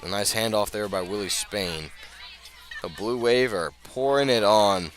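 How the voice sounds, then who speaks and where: An American man calling live high school football on the radio, measured between plays and emphatic on the big ones. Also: some chatter behind, slight background noise. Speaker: play-by-play announcer.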